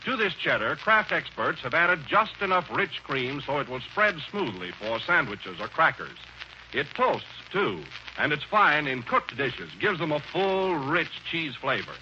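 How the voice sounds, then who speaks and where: A man's voice: a little high, big pitch swings, a little slow, bright, forceful tone. A man reading a scripted commercial as an old-time radio announcer. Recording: dull old radio recording, faint noise.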